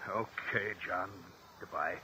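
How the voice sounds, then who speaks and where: An actor playing a blustering, corrupt local politician who sounds cornered and exasperated, in a vintage radio crime drama. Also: male voice, sits low, slow pace, bright, forceful tone, exaggerated voice. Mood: strained, ingratiating. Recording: noisy old radio drama recording.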